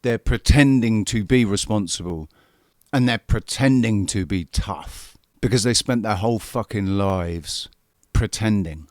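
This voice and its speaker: A man's voice: lowish voice, measured pace, no room sound. Forties guy hosting, mad and sweary, keeps repeating stuff for punch, dry close sound. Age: 40s. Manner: angry, profane, repetitive emphasis. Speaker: show host commenting on a played clip. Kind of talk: heated political commentary.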